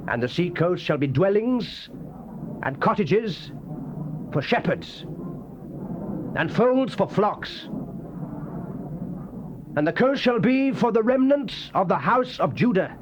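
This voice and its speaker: High-pitched, dramatic British man reading scripture. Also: very animated, slow pace, long pauses, muffled recording. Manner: solemn, declamatory, theatrical. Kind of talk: dramatized King James Bible reading. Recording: noisy old cassette tape recording.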